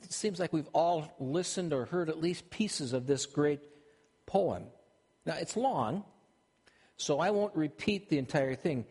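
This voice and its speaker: An older man with a Minnesotan accent preaches in a conversational way, often starting a sentence over. His voice sits a little high for a man. A long reverb trails each phrase, as in a large hall. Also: expressive, a little slow, darkish tone, slightly rough. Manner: conversational, with frequent restarts. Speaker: pastor. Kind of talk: sermon.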